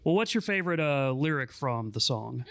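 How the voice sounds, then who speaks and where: A man's voice: medium pitch, very animated, moderate pace, a bit bright. A man co-hosting a podcast, trading casual, joking banter. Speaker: podcast co-host.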